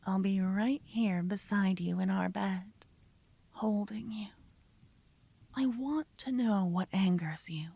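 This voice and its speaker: A lovestruck young female mage played by a woman speaks in a soft, breathy voice that often slips into whispers. She lingers over her words, coaxing and poetic, as in an intimate fantasy ASMR roleplay. The sound is dry and close. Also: mid-range voice, no room sound.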